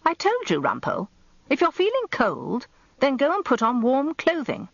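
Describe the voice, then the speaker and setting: An actress playing a sharp-tongued, merciless older wife in a radio drama, British, with a dry, imperious manner. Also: female voice, high-pitched, wildly swooping pitch, moderate pace, vocal fry, no room sound, highs cut off, heavily acted. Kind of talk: radio drama first-person narration and dialogue.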